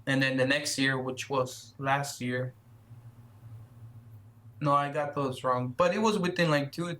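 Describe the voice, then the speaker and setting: A man in his thirties, a guest runner in a casual interview, talking haltingly with repeated words and shy laughs. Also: mid-range voice, fairly even intonation, sharp tone. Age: 30s.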